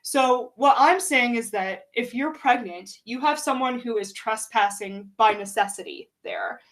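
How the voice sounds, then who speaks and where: Casual higher-voiced woman host. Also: unhurried, a bit bright. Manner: casual, filler-heavy. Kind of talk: casual live-stream chat.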